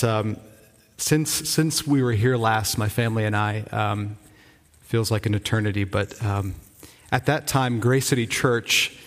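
A man from Rhode Island, a pastor, giving an earnest, informal update from the pulpit, often restarting his sentences. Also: fairly low pitch. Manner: earnest, enthusiastic, halting with frequent restarts. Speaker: visiting church-planting pastor. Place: church sanctuary. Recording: long reverb of a church hall.

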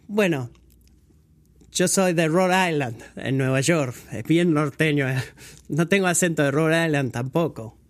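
Man, fairly high voice, preacher, sermon delivery, measured and pausing, corrects himself. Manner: measured, pausing, correcting his own slips. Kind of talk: scripture reading and sermon.

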